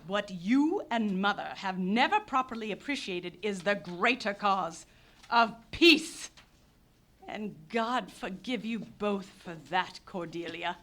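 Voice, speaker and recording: A woman's voice: mid-range voice, expressive, slow, bright, forceful tone. An actress in a live radio play playing an impassioned young pacifist Englishwoman who speaks in declamatory bursts. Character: passionate pacifist young Englishwoman, fiery idealist.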